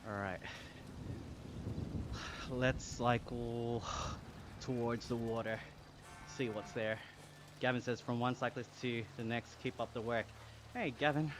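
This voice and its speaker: A man's voice: mid-range voice, fairly even intonation, slow pace, pauses often. Australian man livestreaming, noisy background. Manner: chatty, slangy, reading chat aloud, thanking viewers. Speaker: live streamer. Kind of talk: casual livestream chat over gaming.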